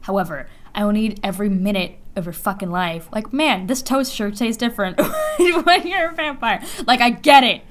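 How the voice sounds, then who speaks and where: Woman co-host for casual comedy banter, medium pitch, wry slangy riffs with an exasperated edge. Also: moderate pace, few pauses. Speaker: podcast co-host.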